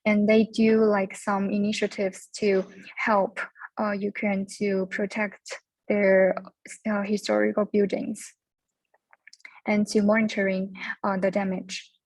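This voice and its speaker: A woman's voice: medium pitch, level tone, slow pace, darkish tone, no echo, low-quality recording. A young adult woman from China, speaking hesitantly with many fillers as she searches for words in a class discussion. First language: Chinese. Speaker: student.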